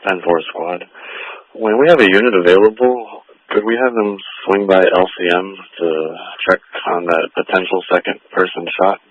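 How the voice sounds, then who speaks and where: A muffled, lo-fi radio transmission carries a man's fairly low voice. He is a police officer making procedural calls to dispatch over a two-way radio. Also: steady tone, moderate pace. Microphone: two-way radio heard over a scanner. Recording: dull, lo-fi police scanner radio.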